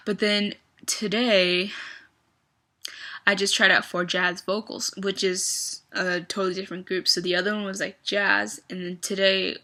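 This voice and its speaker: A young adult woman talks straight to the camera in a confessional vlog. Her voice is bright and clear. She rambles and hesitates, with plenty of fillers as she works through her thoughts. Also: mid-range voice, little pitch movement, unhurried. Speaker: vlogger.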